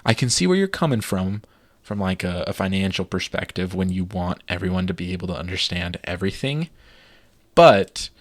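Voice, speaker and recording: A man's voice: sits low, no room sound. A man co-hosting a podcast, chatting casually and enthusiastically with many fillers. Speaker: podcast co-host. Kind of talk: casual chat.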